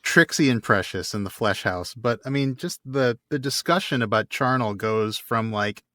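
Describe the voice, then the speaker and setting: Man co-hosting a podcast, mid-pitched voice. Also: moderate pace, pushed and bright, dry recording. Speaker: podcast co-host. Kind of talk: casual banter and show sign-off.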